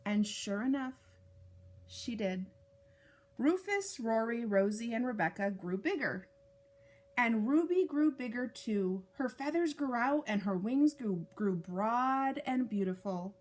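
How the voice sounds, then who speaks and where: Slow, high-voiced woman reading a storybook. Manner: deliberate, expressive phrasing for young children. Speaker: storyteller reading a picture book to children. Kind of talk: read-aloud storytime narration with casual asides.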